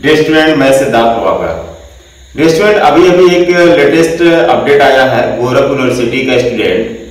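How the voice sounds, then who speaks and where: A man's voice: mid-range voice, expressive, a little fast, recorded from a distance. A young man hosting a screen-recorded tutorial, talking the viewers through a website step by step and using the same filler phrases over and over. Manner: repetitive filler phrases, addresses viewers as students. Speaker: education channel host. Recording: echoey room, voice off-mic.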